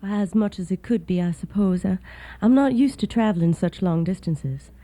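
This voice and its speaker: An actress playing a weary, reserved young Southern wife, speaking politely and hesitantly in a period radio drama. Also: female voice, mid-range voice, moderate pace, mellow, close to an everyday voice. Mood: weary politeness.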